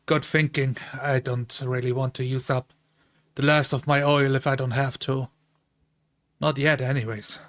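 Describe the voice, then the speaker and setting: A man playing a dependable dwarf traveller in a tabletop game, measured, thoughtful and halting. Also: mid-range voice, fairly even intonation, fairly bright tone, close to an everyday voice. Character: brave, dependable, terse male dwarf traveller.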